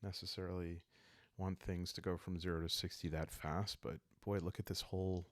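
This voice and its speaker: A man calmly thinking aloud in an unhurried, casual way as he plays and narrates a solo roleplaying game. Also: sits low, no echo, studio quality. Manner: calm, unhurried, musing, meant for relaxed listening. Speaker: host and solo player of a journaling roleplaying game.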